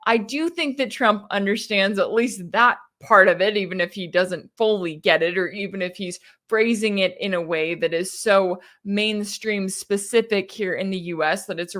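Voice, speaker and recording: A woman's voice: a little high, fairly bright tone. An American woman giving opinionated political commentary as a host, with an emphatic, rhetorical delivery and sarcastic asides. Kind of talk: opinionated news commentary, reading interview quotes and reacting.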